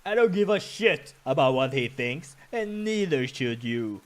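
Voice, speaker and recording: A man voicing a brash, crude male adventurer with a loud, sneering, mocking delivery. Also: medium pitch, big pitch swings, unhurried. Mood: scornful. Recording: music behind the voice.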